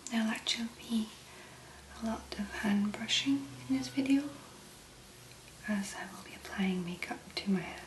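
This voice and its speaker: A woman speaking in a hushed, gentle whisper with long pauses, as an ASMR host. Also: a little high. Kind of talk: soft-spoken whispered ASMR. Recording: roomy echo, clear background noise.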